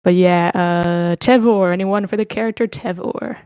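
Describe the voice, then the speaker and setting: A woman's voice: on the low side, fairly even intonation, moderate pace, mellow, clean tone, no room sound. Chatty woman hosting, dry close sound. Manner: informal, chatty. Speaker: host of online script table reads. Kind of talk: casual chat, organizing a cast.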